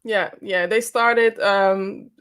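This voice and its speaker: A woman's voice: medium pitch, steady tone, very slow, a bit bright, clear, resonant tone. A woman whose first language is Dutch, speaking English with a Dutch accent as a guest in a casual panel chat, giving direct, practical advice. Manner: direct, practical, gives tips.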